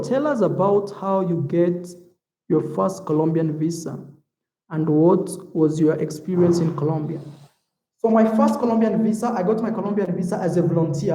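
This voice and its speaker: A man's voice: sits high, echoey room, rough recording. A man from Africa chatting casually on a livestream, reading out viewer comments and calling viewers "man" and "bro". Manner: relaxed and talkative, calls viewers "man" and "bro". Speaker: YouTube vlogger.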